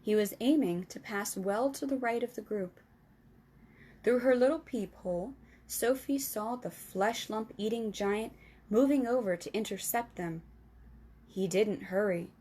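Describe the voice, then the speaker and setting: A woman hosting a storytime, reading a children's book aloud in a calm, clear storytelling voice. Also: mid-range voice, a little slow. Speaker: storytime reader.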